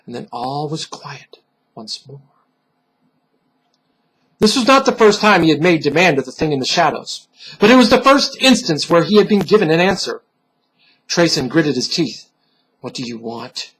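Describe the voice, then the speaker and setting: Male storyteller for gothic horror, husky and higher-pitched, solemn theatrical delivery that sinks into hushed moments. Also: very animated, moderate pace, pauses often, low-quality recording. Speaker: storyteller, reader.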